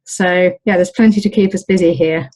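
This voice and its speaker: A British woman talking casually in an interview as the owner of an olive grove, pausing often with ums and restarts. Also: on the low side, steady tone, darkish tone, rough recording. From United Kingdom. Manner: hesitant, many ums and restarts.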